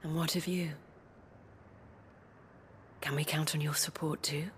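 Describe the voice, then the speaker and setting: An actress playing a gracious, worldly former courtesan in a video game cutscene, speaking softly and eloquently in a measured, genteel way. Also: female voice, deep voice, little pitch movement, a little hiss or hum. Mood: tender, hopeful. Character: gracious worldly former courtesan, madame leading a fantasy town. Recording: music under the voice.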